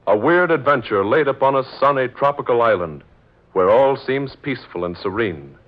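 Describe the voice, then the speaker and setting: A man's voice: mid-range voice, animated, moderate pace. A man announcing a radio drama in a scripted, formal and dramatic 1940s style. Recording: muffled old radio broadcast.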